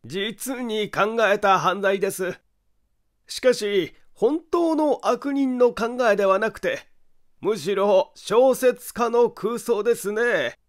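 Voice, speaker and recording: A man voicing an eerie detective in an audiobook, slow, deliberate and insistent as he lays out a crime. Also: high-pitched. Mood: accusing, deliberate. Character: eerie, slow, low-spoken male detective laying out a crime. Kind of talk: dramatic audiobook character acting.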